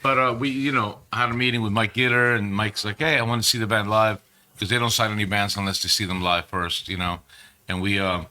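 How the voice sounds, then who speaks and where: Bright-voiced Peru-born musician telling stories. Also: male voice, on the low side. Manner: animated, profane, rambling storyteller. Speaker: band member. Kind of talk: casual interview storytelling.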